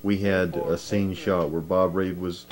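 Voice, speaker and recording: A man in a casual interview, looking back on amateur filmmaking in an informal, halting way. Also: low voice, little pitch movement. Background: music.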